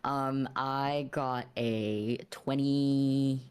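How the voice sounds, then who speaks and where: A woman with a strikingly low voice plays a tabletop roleplaying game. She speaks slowly and casually, as one player among the group. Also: steady tone, darkish tone, clean tone.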